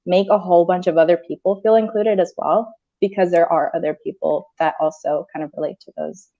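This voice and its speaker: Relaxed American woman on a dull call line. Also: on the low side, fairly quick. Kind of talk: casual video call chat.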